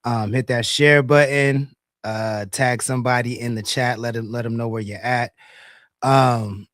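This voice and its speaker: A man hosting a live radio show, raspy from being under the weather, talking in loose slang with many fillers and restarts. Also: mid-range voice, steady tone, a little slow, a bit bright, dry recording, clean studio sound. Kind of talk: casual live radio talk.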